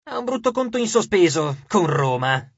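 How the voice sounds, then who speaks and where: A man's voice: high-pitched, very animated, moderate pace, dry recording, dull audio, exaggerated voice. A man voicing a sneering ghost villain. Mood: scheming. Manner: mocking, domineering. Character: scornful ghost suitor, sharp-toothed, yellow-eyed man.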